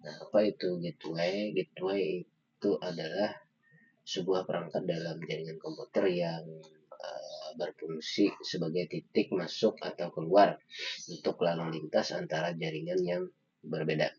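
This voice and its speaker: Low-voiced young man presenting. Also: little pitch movement, darkish tone. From Indonesia. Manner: halting, with frequent filler pauses. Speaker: university student presenter. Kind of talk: slide presentation of an article review. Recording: dull lo-fi microphone sound.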